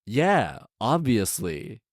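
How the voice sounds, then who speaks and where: A man's voice: medium pitch, big pitch swings, drawn-out words, no room sound, studio quality. A man hosting a storytelling show, reading aloud and breaking into informal, sarcastic commentary. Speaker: storytelling podcast host.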